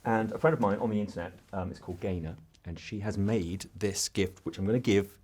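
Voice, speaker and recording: Deep-voiced male interviewer. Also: brisk, a little mellow, breathy edge. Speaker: interviewer and film critic. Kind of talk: enthusiastic casual press junket interview.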